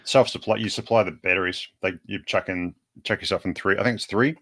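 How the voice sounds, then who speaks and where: A man's voice: low-pitched, brisk, fairly bright tone, no room sound. A man, a co-host adding short, wry, informal remarks in casual banter. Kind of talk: casual banter and side comments.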